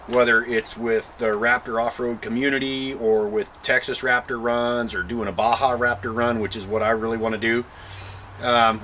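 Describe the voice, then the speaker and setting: American guy doing a casual vlog explainer, kinda halting, clear hum behind him, medium pitch. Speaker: vlogger showing a truck modification.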